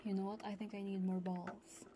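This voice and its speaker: A woman streaming ASMR, chatting playfully in a soft, often whispered murmur close to a binaural microphone. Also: medium pitch, little pitch movement, a little fast, background noise. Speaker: ASMR streamer, VTuber host. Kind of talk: ASMR livestream, casual playful chat. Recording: loud crinkling, clicking ASMR prop noises. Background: clicks, crinkling and tapping of props.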